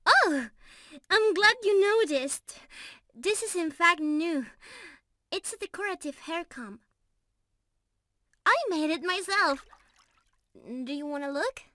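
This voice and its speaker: A young mermaid in love, acted by a woman, speaks in a very high voice at an unhurried pace. She is tender, playful and prone to giggles, addressing her beloved directly in an intimate ASMR roleplay. Her tone is clearly bright and full of affection. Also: lively intonation, dry recording, clean studio sound, exaggerated voice. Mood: sweet, proud.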